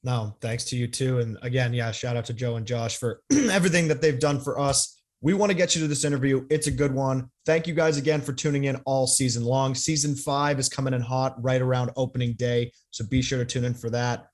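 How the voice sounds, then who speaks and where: A man's voice: mid-range voice, fairly even intonation, brisk, few pauses. A man hosting a podcast, presenting in an informal, presenterly way. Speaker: podcast host. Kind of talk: casual show hosting.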